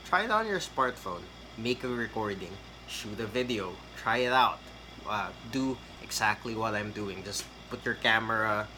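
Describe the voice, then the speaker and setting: A man's voice: mid-range voice, sharp tone, slight background noise, low-quality recording. A man, giving a casual, rambling tutorial on getting hired in a call center. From Philippines. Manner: chatty, rambling with fillers and restarts. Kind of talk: casual tutorial talk to camera.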